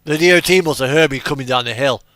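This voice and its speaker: A man giving casual gameplay commentary as host of a truck simulator video, rambling and halting with trailing asides. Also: mid-range voice, a bit bright, no room sound.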